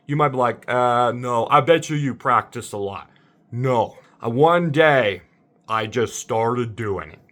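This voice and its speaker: Male, casual vlogger type, mid-pitched, bewildered, rambling and repetitive delivery. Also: a bit bright. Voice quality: slightly deep voice. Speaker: amateur voice impressionist. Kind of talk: casual rambling monologue to viewers.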